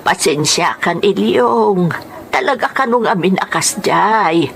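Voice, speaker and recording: An actress playing a patient elderly grandmother in a radio drama, speaking in a measured, comforting way. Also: medium pitch, animated, gravelly. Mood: soothing. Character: patient, soothing elderly grandmother, devoted wife and mother. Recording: faint background noise.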